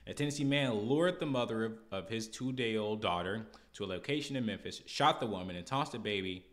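A man's voice: on the low side, a little fast. An American man hosting a podcast, giving casual opinion commentary in a blunt, exasperated, slangy way. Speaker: podcast host.

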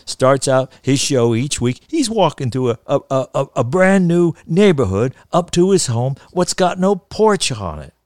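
A man's voice: medium pitch, very animated, a little slow, few pauses, a little mellow, dry recording, clean studio sound, pitched above the performer's own voice. A man voicing a stubborn old small-town grandpa in a storybook, grumbling and rambling in folksy, ungrammatical talk. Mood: grumbling, insistent.

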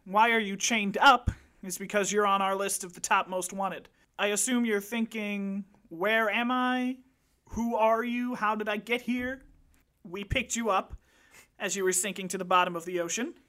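A woman's voice: medium pitch, steady tone, moderate pace, bright, forceful tone, close to an everyday voice. A woman voicing a blunt, foul-mouthed male secret agent who gives crude, matter-of-fact reports. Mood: smug. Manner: blunt, profane field-report delivery.

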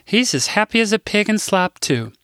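A man's voice: high voice, sing-song, normal speed, fairly bright tone, smooth, no echo, clean studio sound, voice pushed up, heavily acted. A man voicing a cheerful, innocent Midwestern grandmother over 60, earnest and sing-song with a Midwestern accent. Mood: gushing.

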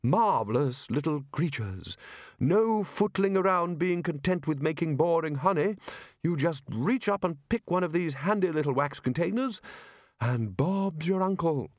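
Man doing an enthusing old wizard, pitched up. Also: lively intonation, measured pace, no room sound, studio quality. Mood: enthused. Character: enthusiastic older male wizard scholar.

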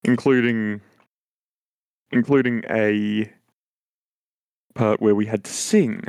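A young man with a very deep voice, chatting casually as a podcast co-host and talking in halting, hesitant phrases full of restarts. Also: fairly even intonation, vocal fry, a little mellow, smooth, no room sound. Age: young adult. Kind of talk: casual chat.

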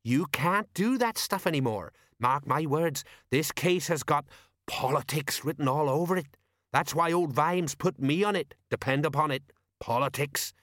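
Storybook police sergeant, read by a man: self-important, slow-witted old hand, colloquial lecturer, raised pitch, dry close recording. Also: fairly bright tone, no echo, close to an everyday voice. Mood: smug, knowing.

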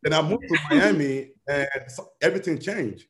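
A man's voice: fairly high pitch, normal speed. A young man talking casually as an interview guest, rambling and full of fillers. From Nigeria. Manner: rambling, many "you know" fillers.

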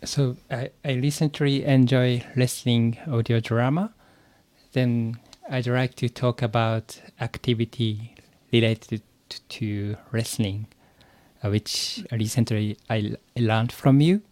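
A man from Japan with a Japanese accent, answering interview questions as an English learner, haltingly searching for words. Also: medium pitch, steady tone, a little mellow, dry recording. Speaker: podcast guest, English learner.

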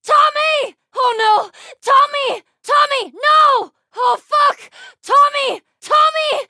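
An actress playing an enraged, vengeful, foul-mouthed young woman, shouting aggressively in video game combat. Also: very high-pitched, slow, dry recording, studio quality, full character voice. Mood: desperate.

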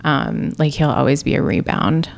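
A woman co-hosting a podcast in casual chat, talking in an even, fairly monotone way. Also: deep voice, moderate pace, gravelly, heavy background noise. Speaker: podcast co-host.

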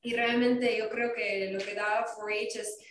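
A woman's voice: fairly high pitch, fairly even intonation, a little slow, slight background noise. Young american woman from wisconsin, hesitant fillers, echoey room, bit far from mic. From Wisconsin, United States. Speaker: guest. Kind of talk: casual podcast chat.

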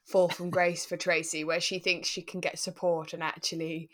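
Low-pitched woman, chatting. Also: level tone, moderate pace, fairly bright tone. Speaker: podcast co-host. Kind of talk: relaxed casual chat reviewing a radio drama.

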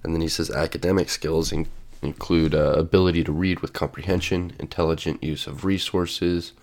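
A man's voice: extremely low pitch, steady tone, brisk, a little mellow. A male podcast host with a stuffy cold, musing aloud and reading definitions with many fillers. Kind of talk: solo podcast monologue. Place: sitting at home with coffee.

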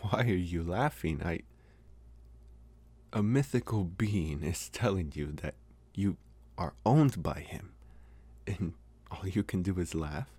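Man acting as young college vampire, very low pitch, unhurried delivery, intimate ASMR roleplay, awkward, halting, possessive, earnest. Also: expressive, dark tone, breathy edge, dry recording, heavily acted. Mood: possessive, serious. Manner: halting, hesitant, trying to sound intimidating.

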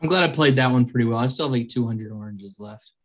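A man co-hosting a casual podcast, relaxed and joking as he chats. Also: mid-range voice, fast. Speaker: podcast co-host. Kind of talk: casual chat.

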